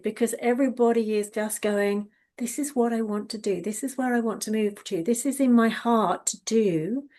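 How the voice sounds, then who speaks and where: A woman's voice: medium pitch, darkish tone. A woman hosting an interview on a video call, enthusiastic and encouraging, often repeating words as she talks. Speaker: interview host. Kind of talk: interview conversation.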